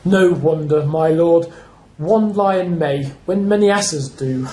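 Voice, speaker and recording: A man's voice: on the high side, slow, mellow. A man playing a deferential, witty courtier in an amateur Shakespeare radio play. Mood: dry mockery. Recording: echoey room recording.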